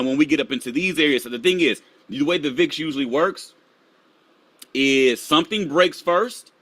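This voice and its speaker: A man's voice: fairly bright tone, no room sound. A man hosting a live stream, casually walking viewers through market charts and sometimes muttering to himself as he pulls up the next one. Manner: casual, talks to viewers while pulling up charts; drops to a low mutter while searching charts.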